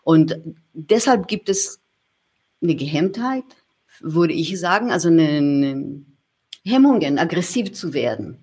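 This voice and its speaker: A German woman giving a thoughtful interview, talking things through with many pauses and restarts. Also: sits low, expressive, darkish tone, breathy edge. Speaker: interview guest. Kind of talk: reflective conversational interview.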